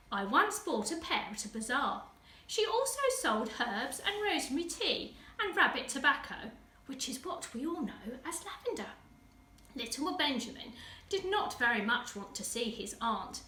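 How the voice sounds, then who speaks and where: A woman's voice: high-pitched, sharp tone. A woman with an English accent reading a children's story expressively, with dramatic emphasis for young listeners. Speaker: school teaching assistant reading a story. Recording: roomy echo, distant microphone.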